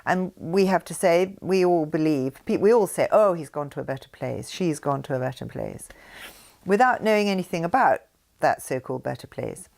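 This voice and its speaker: An older woman reading a spiritual text aloud in a formal, deliberate manner, with emphasis and brief asides. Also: sits low, expressive, creaky, darkish tone. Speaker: reader of her mother's written messages.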